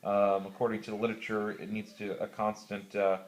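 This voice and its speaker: An American man talking casually on amateur radio, explaining methodically and haltingly, with radio procedure words. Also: on the low side, monotone. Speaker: classroom teacher.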